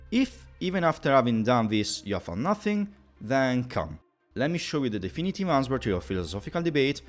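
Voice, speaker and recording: A man hosting a tutorial, narrating with playful, mock-formal phrasing. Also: mid-range voice. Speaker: video host.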